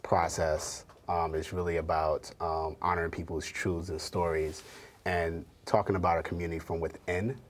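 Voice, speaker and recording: A man's voice: low voice, level tone, moderate pace, a little hiss or hum. A man in his thirties, an artist and curator guest, talking earnestly in a TV studio interview with frequent fillers. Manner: thoughtful, filler-heavy, earnest. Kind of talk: casual interview talk. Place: TV studio, indoors.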